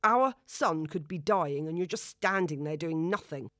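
A furious, domineering father barks demands and heaps scorn, as a woman reads him aloud. The voice is low-set and loud, close and dry with no room sound. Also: a little slow, no room sound. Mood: angry. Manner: shouting, bullying, demanding. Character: irate domineering middle-aged father.

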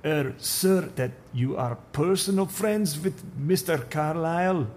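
A man's voice: a little high, takes it slowly, a little mellow, slight background noise, voice pushed up. A man voicing a tall male building supervisor with a Russian accent, speaking stiff, broken English. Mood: uneasy.

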